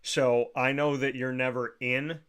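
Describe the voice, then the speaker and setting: A man's voice: mid-range voice, flat delivery, unhurried, fairly bright tone, smooth. A man hosting an interview, asking questions in a friendly, conversational way. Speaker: host.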